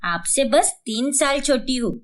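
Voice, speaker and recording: A woman's voice: sits high, expressive, a little slow. A woman voicing an ageing younger sister in a family story, blunt, stubborn and protesting. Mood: exasperated refusal.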